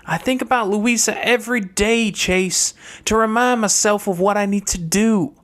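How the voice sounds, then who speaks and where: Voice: mid-range voice, normal speed, pitched above the performer's own voice, close to an everyday voice. A reader voicing a calculating young girl from a wealthy family in an audiobook, airy and pointed with a haughty disdain. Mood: cool, pointed.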